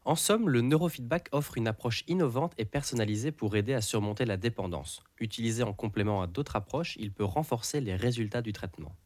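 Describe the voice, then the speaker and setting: A man co-presenting a health report, reading a script in a clear, measured delivery. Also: medium pitch, a little mellow, dry recording. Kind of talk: scripted narration.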